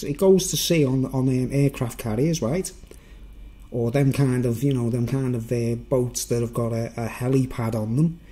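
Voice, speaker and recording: A man with a Merseyside accent talks off the cuff to his viewers. His voice is middling to slightly high and soft-edged, with little brightness. He wanders from point to point in an informal way, often checking that listeners follow. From Wirral, north-west England. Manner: informal, digressive, seeks agreement with tag questions. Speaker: vlogger. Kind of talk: rambling, unscripted monologue to viewers.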